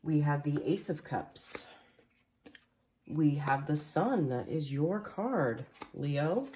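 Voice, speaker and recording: A woman talks through a tarot spread in a loose, chatty voice-over, dropping plenty of fillers along the way. Her voice sits low and mellow. It has a slightly stuffy, hoarse edge from allergies. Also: animated, slow pace, slight background noise. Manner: chatty, filler-heavy, frequent "like" and "um". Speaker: tarot reader. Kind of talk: casual tarot reading voice-over. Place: home, voice-over behind the camera.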